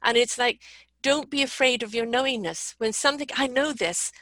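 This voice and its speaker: A woman with a bright, forward voice talks earnestly and warmly about inner wisdom, her thoughts running on in long strands. The sound is dry and close, and she laughs often between points. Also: on the high side, moderate pace, no room sound. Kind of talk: casual spiritual conversation.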